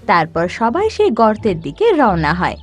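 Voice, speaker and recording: A woman narrating an animated children's story in an expressive, dramatic storytelling manner. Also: medium pitch, moderate pace, soft background music.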